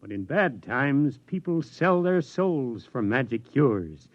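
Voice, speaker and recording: An actor playing a weary, disillusioned elderly scientist in a reflective 1950s radio drama style. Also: male voice, medium pitch, very animated, dry recording. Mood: weary, philosophical. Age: older. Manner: reflective, measured speechmaking. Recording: dull vintage radio recording.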